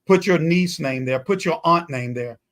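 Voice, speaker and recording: A man's voice: a little high, moderate pace, a bit bright. A man speaking as a guest pastor in a talk-show discussion, emphatic and repetitive with a preaching cadence.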